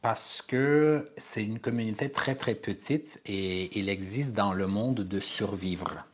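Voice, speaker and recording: A man from Armenia, a playwright and director, answering interview questions earnestly and pausing to find his words. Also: on the low side, slightly rough. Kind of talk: interview conversation.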